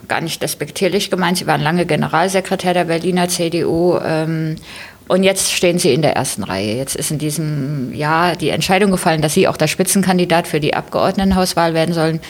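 A woman's voice: fairly low pitch, a little fast. A woman conducting a political interview, asking probing questions in a polite but direct, conversational way.

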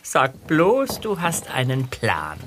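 An actor playing a sceptical, sarcastic young detective sidekick in a German audio drama. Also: male voice, medium pitch, wildly swooping pitch, slow, long reverb tail, noisy background. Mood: alarmed, sceptical.

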